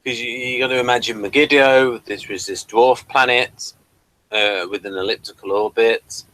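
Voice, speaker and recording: A man's voice: medium pitch, steady tone, moderate pace, sharp tone, rough recording. A man hosting a casual review chat, asking questions and explaining things to his guest. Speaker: host of a review show.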